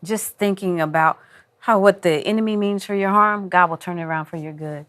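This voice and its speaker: A woman's voice: mid-range voice, a little fast, fairly bright tone. Middle-aged woman, talk show co-host. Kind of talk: casual reflective conversation.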